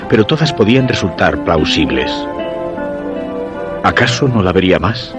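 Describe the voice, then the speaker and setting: An actor playing a lovesick, brooding man narrating his diary in a radio drama, with an accent from Spain. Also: low-pitched. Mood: anxious longing. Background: music.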